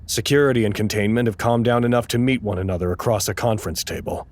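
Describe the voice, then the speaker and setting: A fairly low male voice from an actor plays an earnest, uneasy staffer at a research site. He speaks in a naturalistic audio drama style, measured and a little hesitant, as if keeping a careful log. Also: natural voice. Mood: sober, weary reporting.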